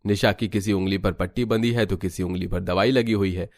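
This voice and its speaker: A man reading scripted narration for a Hindi animated story as its narrator. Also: low voice, fairly quick, no room sound.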